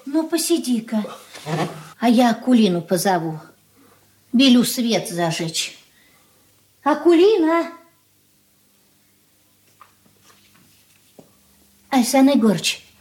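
An actress playing an elderly merchant's wife in a Soviet radio play, caring and fussing, with homely colloquial speech. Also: female voice, on the high side, expressive, a little slow, pauses often, a little mellow. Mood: gentle coaxing.

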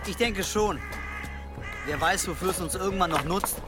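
A man's voice: high-pitched, moderate pace, loud music behind the voice, lightly acted. A young man playing an earnest, careful, bookish monk in a German radio drama. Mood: gentle, earnest. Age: young adult. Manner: earnest, cautious.

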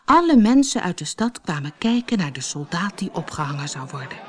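A woman reading a fairy tale as an audiobook storyteller, expressive and dramatic in her scripted narration. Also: lowish voice, fairly quick.

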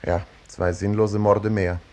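A man's voice: fairly low pitch, flat delivery, slow pace, a little mellow. An actor playing a weary, disillusioned male police officer, speaking with resignation in a 1960s radio drama. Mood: weary, resigned.

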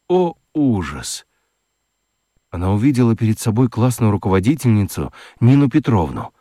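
A man narrating a scripted children's radio story in an expressive, dramatic storytelling manner. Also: medium pitch, dry recording, clean studio sound.

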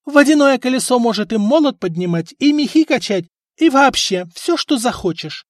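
A man voicing a sharp-tongued village wife who yells and scolds her husband in a storybook reading. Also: high-pitched, big pitch swings, moderate pace, no room sound, studio quality, pitched above the performer's own voice. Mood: condescending. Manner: shrieking, scolding. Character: shrill scolding village wife, middle-aged.

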